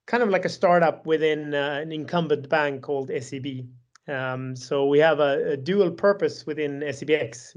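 A man's voice: fairly high pitch, a little mellow. A man in his forties with a Swedish accent, a podcast guest speaking thoughtfully with many restarts over a call. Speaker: podcast guest, tech executive. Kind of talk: casual remote conversation. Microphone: video call.

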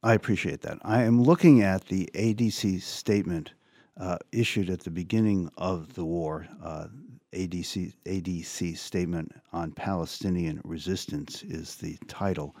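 A man's voice: sits low, normal speed, darkish tone, no room sound. A man hosting a radio talk show, asking earnest, emphatic questions into a studio microphone. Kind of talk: radio interview.

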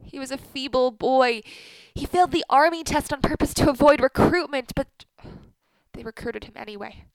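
A woman acting a bitter, commanding older wife and mother in a radio play, speaking deliberately and imperiously with emphasis. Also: high-pitched, no echo, low-quality recording. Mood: grieving, bitter.

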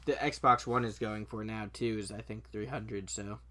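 A man's voice: on the low side, fairly even intonation, normal speed, sharp tone. A man co-hosting a podcast, talking casually and bluntly in an informal, sweary way. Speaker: podcast co-host. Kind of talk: casual chat.

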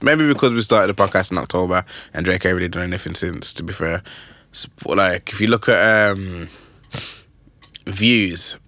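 A young man hosting a podcast, chatting casually about music in a rambling, animated way with lots of restarts. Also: sits low. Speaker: podcast host.

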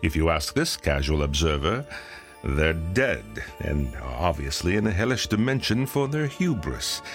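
A man's voice: rumbling low, sing-song, unhurried, faint music behind, exaggerated voice. An actor playing a snarky male narrator in an audio drama, dry and sardonic.

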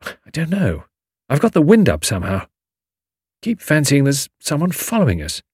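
A man's voice: fairly high pitch, wildly swooping pitch, normal speed, a little mellow, breathy edge, dry recording, clean studio sound, voice pushed up, full character voice. A man voicing an uneasy but eager young British adventurer, clipped and understated, in an audiobook story. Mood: doubtful, uneasy.

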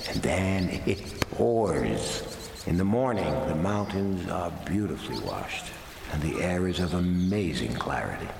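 A man's voice: sits low, full character voice. An actor playing a witty, genial exiled screenwriter, droll and urbane, reading his letters in a radio drama. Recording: clear noise in the background.

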